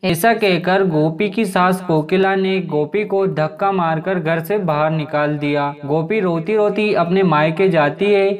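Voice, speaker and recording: A woman's voice: fairly low pitch, a little slow, few pauses. A woman narrating a scripted moral story for a cartoon as the storyteller.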